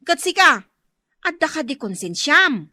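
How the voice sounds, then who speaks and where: A woman's voice: high voice, big pitch swings, measured pace, bright, forceful tone, no echo, studio quality, exaggerated voice. A woman with an Ilocano accent playing a long-suffering young wife and mother in a radio drama. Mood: exasperated. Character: long-suffering poor young wife and mother, scolding her idle husband. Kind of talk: Filipino radio drama acting.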